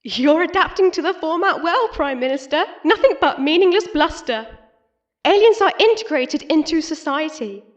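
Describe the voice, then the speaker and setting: An actress playing a principled opposition leader, speaking in formal, pointed rhetoric in an audio drama debate. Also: female voice, sits high, expressive, moderate pace, highs cut off. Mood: cutting, earnest.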